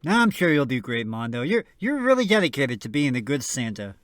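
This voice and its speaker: A man's voice: medium pitch, sing-song, fairly quick, fairly bright tone, dry recording. Male radio host, dry close sound. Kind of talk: scripted comic host banter.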